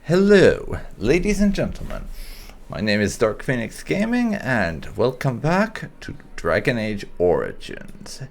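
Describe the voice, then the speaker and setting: A man hosting a casual video game playthrough, haltingly thinking aloud as he comments. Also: mid-range voice, wildly swooping pitch. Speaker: gaming channel host. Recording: game ambience faintly behind commentary.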